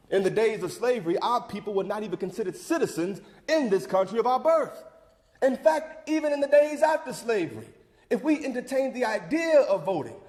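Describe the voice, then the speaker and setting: A man's voice: high-pitched, darkish tone. A man acting the part of a fervent older community leader, giving a folksy, rousing, preacherly speech in a theatrical monologue. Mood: solemn, urgent. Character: John Wesley Dobbs, fervent older civic leader rallying a church crowd. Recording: echoey hall with long reverb.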